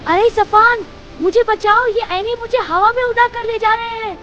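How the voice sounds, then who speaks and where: An excitable young boy , played by a woman, speaks in a high, earnest voice. He is a cartoon adventurer who exclaims and frightens easily. The sound is slightly dull, with music behind him. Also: normal speed. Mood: alarmed. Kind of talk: children's moral cartoon story acting.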